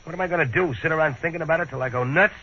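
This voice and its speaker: An actor in 1940s radio-drama style playing a sullen, stubborn out-of-work husband who grumbles and flares up, on a dull lo-fi old radio recording. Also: male voice, fairly high pitch, very fast, pushed and bright, slight background noise. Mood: angry. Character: bitter out-of-work young husband, stubborn and brooding. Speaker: lead character.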